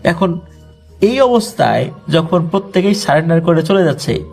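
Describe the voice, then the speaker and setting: A man's voice: a little high, expressive, normal speed, vocal fry, darkish tone, faint music behind. A man with a Bangladeshi accent narrating a ghost story conversationally, with explanatory colloquial asides.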